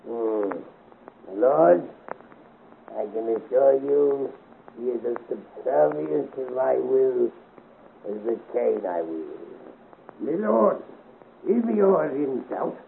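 A man's voice: mid-range voice, animated, slow pace, creaky, pauses often, exaggerated voice. An actor playing an oily, scheming henchman to a lord, obsequious and theatrical, in a 1950s radio serial. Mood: smooth, cautious. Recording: scratchy, muffled 1950s radio recording.

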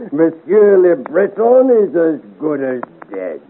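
A man playing a rough, boastful, mercenary swordsman in a 1950s radio adventure serial. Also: fairly high pitch, big pitch swings, drawn-out words, narrow, tinny audio, exaggerated voice. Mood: swaggering, mercenary. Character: swaggering, mercenary cutthroat lockbreaker, battle-scarred swordsman. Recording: thin, hissy vintage radio recording.

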